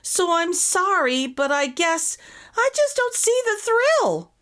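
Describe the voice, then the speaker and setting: A woman's voice: very high-pitched, very animated, slow, fairly bright tone, voice pushed up, full character voice. A woman voicing an earnest, polite young boy in a children's storybook, with a plain-spoken, wide-eyed manner. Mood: puzzled, doubtful.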